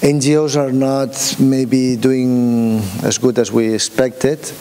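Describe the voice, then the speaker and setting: A man's voice: medium pitch, level tone, dark tone. Hesitant man speaking in a reverberant hall. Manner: halting, many restarts and fillers, asks the room questions. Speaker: workshop speaker. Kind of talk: informal workshop talk. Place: conference room. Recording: long hall reverb, faint hiss.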